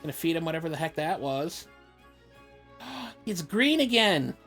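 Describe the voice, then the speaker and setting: A woman's voice: low voice, very animated, normal speed, fairly bright tone. Casual woman gamer over faint music. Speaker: game player. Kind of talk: casual gameplay chat.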